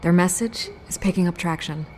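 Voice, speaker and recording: Woman acting shrewd American reporter, lowish voice, wry, dry, casual, game drama, faint noise behind. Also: brisk, a little mellow, close to an everyday voice. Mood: dry, dismissive.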